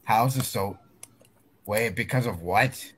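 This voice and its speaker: A man's voice: lowish voice, takes it slowly, fairly bright tone, husky. A man bantering casually in an online group voice chat.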